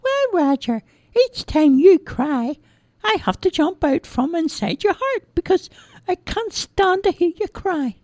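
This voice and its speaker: A woman's voice: extremely high pitch, sing-song, a little slow, a little mellow, dry recording, studio quality, voice pushed up, heavily acted. A woman voicing a tiny, chirpy magical man who lives inside a boy's heart and giggles as he talks. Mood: cheerful.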